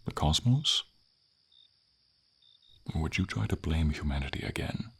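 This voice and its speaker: A man playing a gentle, noble, melancholy silver dragon in an ASMR fantasy roleplay, speaking tenderly and formally in a breathy, gravelly voice. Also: lively intonation, heavily acted. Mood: earnest, consoling. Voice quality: husky, gravelly, breathy low voice. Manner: slow, tender, formal, hesitant phrasing.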